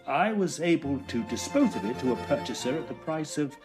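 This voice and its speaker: A man playing a fussy, curious Edwardian gentleman ghost hunter in a tongue-in-cheek period audio drama, speaking in a formal, exclamatory, old-fashioned way. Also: a little high, big pitch swings, brisk, over music. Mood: measured storytelling.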